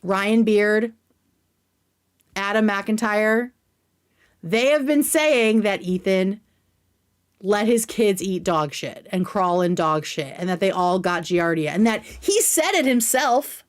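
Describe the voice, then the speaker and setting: A woman's voice: medium pitch, lively intonation, takes it slowly. A woman hosting a casual livestream commentary, speaking in a sarcastic, emphatic way with plenty of slang. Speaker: livestream host.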